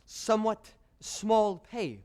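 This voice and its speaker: An actor in a stage play voicing a scheming, lecherous older court composer with formal, courtly, insinuating phrasing. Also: male voice, high voice, animated, slow pace, a little mellow, smooth. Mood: sly, insinuating. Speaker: stage actor in a school one-act play. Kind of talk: theatrical stage period drama acting.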